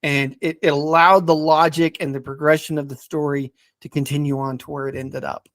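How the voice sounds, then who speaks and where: A man in a casual podcast discussion, speaking in a hesitant, halting way and often repeating words as he reviews stories. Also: fairly high pitch, little pitch movement, fairly bright tone, no echo, clean studio sound.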